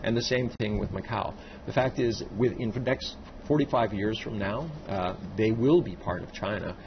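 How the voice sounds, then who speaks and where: Gravelly low-voiced man on a panel. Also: normal speed, muffled recording, background noise. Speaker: panel guest. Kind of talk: studio panel discussion.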